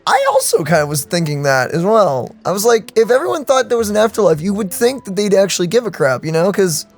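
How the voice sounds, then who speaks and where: A gaming host giving casual, excitable, slangy reaction commentary in a rambling way. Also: mid-range voice, fairly quick, faint music behind.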